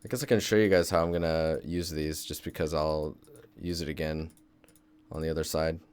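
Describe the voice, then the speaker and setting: A young man talks through his work on a casual live stream, easygoing and chatty as he answers viewers. His voice sits low and smooth. He is recorded at his desk on a close microphone. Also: steady tone, normal speed, a little mellow. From Seattle, Washington State, US. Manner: laid-back, thinks aloud while working. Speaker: game environment artist streaming.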